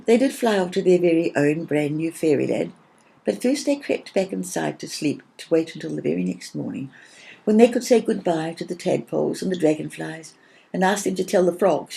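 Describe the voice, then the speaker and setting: A woman storyteller reading a children's story expressively, in a gentle manner with dramatic pauses. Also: sits low, lively intonation, vocal fry, rough recording.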